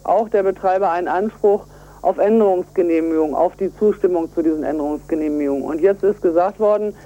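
A woman's voice: on the low side, brisk. A woman being interviewed on the radio over a telephone line as an environmental activist, explaining step by step in an earnest, emphatic manner. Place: on a telephone call to a radio show.

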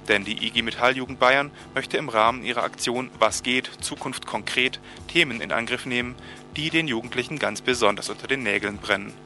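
A man's voice: mid-range voice, brisk, creaky, bright, forceful tone. A man presenting radio news, reading a scripted introduction to a report. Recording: music behind the voice.

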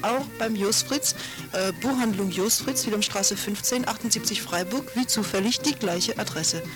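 A woman's voice: mid-range voice, moderate pace. Brief: woman pirate radio presenter, loose and chatty, music bed under her voice. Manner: relaxed, chatty, with fillers and self-corrections. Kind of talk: casual radio announcements.

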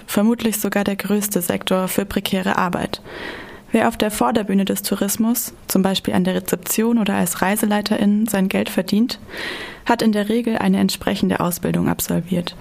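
Female radio presenter, creaky mid-pitched voice, scripted factual narration. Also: steady tone, moderate pace, a little mellow.

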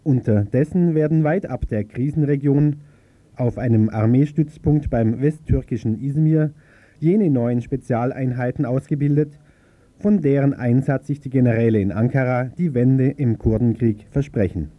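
A man reading a newspaper article aloud in an even, formal manner. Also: medium pitch, normal speed, no echo. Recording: dry, slightly dull recording.

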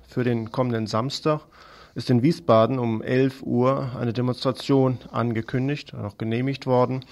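A man's voice: medium pitch, darkish tone. A man presenting scripted political news commentary on the radio.

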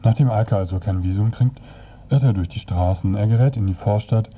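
A man presenting a scripted review on the radio as narrator, with measured, halting phrasing. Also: lowish voice, muffled recording, a little hiss or hum.

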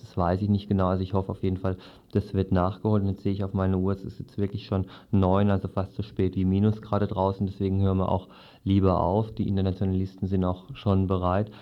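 A man presenting live radio in an informal, improvised, casual way. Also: deep voice. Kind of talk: informal live programme sign-off. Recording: dull, lo-fi radio recording.

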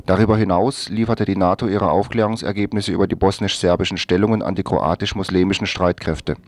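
A man reads scripted radio news in a low voice. The sound is very dry, with hardly any room around it. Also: darkish tone, dry recording.

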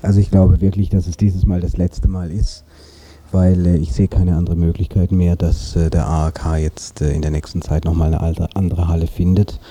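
Very dark, low-voiced man answering hesitantly. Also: flat delivery, normal speed, slight background noise. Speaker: arts association spokesman, interview guest. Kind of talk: spontaneous interview answers.

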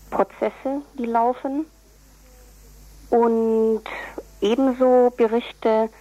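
A woman's voice: medium pitch, dark tone, no room sound, dull audio. A woman giving explanatory interview answers in a precise, matter-of-fact way, as an editor would. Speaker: newspaper editor as interview guest.

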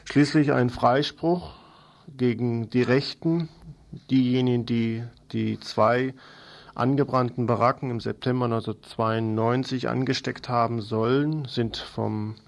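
A man's voice: mid-range voice, steady tone, unhurried, vocal fry. A man presenting an informal radio news overview, part read and part improvised, addressing listeners familiarly.

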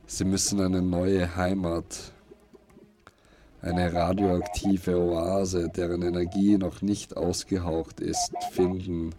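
Slow, low-voiced man narrating darkly. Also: little pitch movement, mellow. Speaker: narrator of an audio play. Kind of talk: slow dramatic scripted narration. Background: music and noise loops under the voice.

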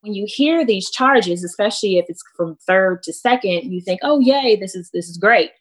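A woman with an American accent speaking as a panelist, explaining things in a measured way with emphasis on key words. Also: mid-range voice, expressive, poor audio.

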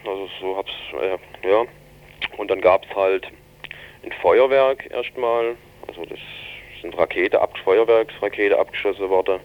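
Low-voiced man, halting and colloquial, dull recording. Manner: halting, colloquial, searching for words. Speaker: interviewee reporting on an incident. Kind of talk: spontaneous spoken eyewitness-style account. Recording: dull, lo-fi radio interview recording.

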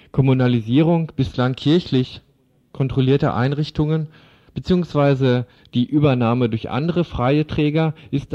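A man's voice: mid-range voice, dark tone. A man reading a scripted political radio commentary as a reporter. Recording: dull lo-fi radio recording.

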